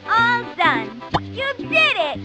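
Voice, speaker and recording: A woman voicing a cheerful, encouraging little girl explorer in a children's game, speaking emphatically and clearly. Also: very high voice, wildly swooping pitch, loud music behind the voice, heavily acted. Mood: excited, encouraging. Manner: bright, emphatic, slow and clearly enunciated, exclaiming praise. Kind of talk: children's game voice acting, instructing the player.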